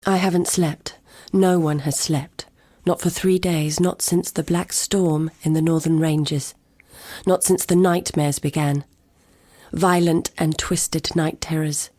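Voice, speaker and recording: An actress playing a haunted, fearful village wife and mother, giving grave, deliberate journal narration for a video game. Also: sits low, steady tone, warm tone, dry recording. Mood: weary, fearful. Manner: slow, grave, deliberate storytelling.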